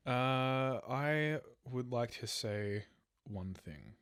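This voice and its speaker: A man's voice: mid-range voice, expressive, drawn-out words, fairly bright tone, clear, resonant tone. A man with an Australian accent banters casually as a podcast co-host, informal and prone to swearing.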